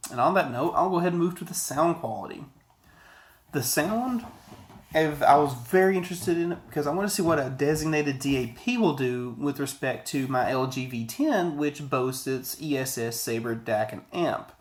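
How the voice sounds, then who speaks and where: A man's voice: a little high, normal speed. A man in his thirties giving a casual, rambling, conversational product review to camera, often digressing. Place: small indoor office, sitting at a desk.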